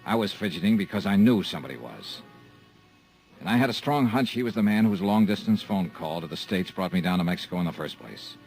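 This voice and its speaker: A man's voice: low-pitched, expressive, brisk, vocal fry, darkish tone, faint music behind, poor audio. A man narrating and acting a wisecracking insurance investigator in a 1950s radio drama, dry and hard-boiled. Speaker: lead actor and narrator.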